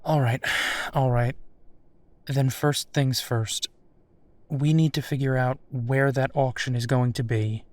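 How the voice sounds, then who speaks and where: A man playing a protective, worried but caring werewolf detective in an intimate audio roleplay, speaking in a reassuring way with pauses. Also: medium pitch, fairly even intonation, dry recording, natural voice. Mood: serious, planning.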